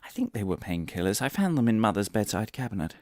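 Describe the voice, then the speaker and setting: Male actor as eccentric conspiracy-theorist professor, lowish voice, wildly animated pitch, earnest, pompous, halting stammers, comic audio drama. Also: no echo. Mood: aggrieved, paranoid.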